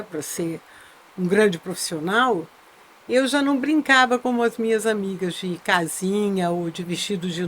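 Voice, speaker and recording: A woman's voice: medium pitch, fairly bright tone, a little hiss or hum. An older Brazilian woman, a veteran insurance broker, reflectively telling her life story as an interview guest, often adding tag questions. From Brazil, born in Minas Gerais, raised in São Paulo.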